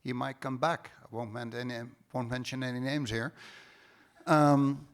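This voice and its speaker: A man's voice: mid-range voice, fairly even intonation, unhurried, clean tone. A man from the Netherlands with a Dutch accent, speaking as a panelist at a conference with emphatic, sarcastic remarks. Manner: sarcastic, emphatic, with ironic mock quotes. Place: conference hall stage.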